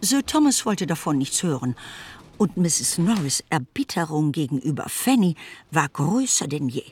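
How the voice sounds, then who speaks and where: An actress playing a novelist narrator, fond of her heroine, articulate and measured in an audio drama. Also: sits low, sing-song, a little mellow, slightly rough, heavily acted. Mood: composed, grave.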